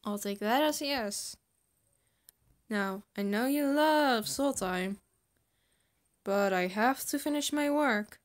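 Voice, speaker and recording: A woman voicing a gentle, affectionate, sly male scientist who coaxes and soothes in an amateur comic dub. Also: a little high, slow, long pauses.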